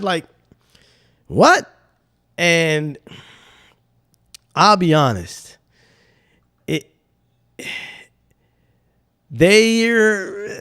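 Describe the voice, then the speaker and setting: A man's voice: high-pitched, dry recording, clean studio sound. A young man with a slightly nasal voice, hosting a sports podcast in loose, rambling casual talk. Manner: loose, animated, rambling with slang.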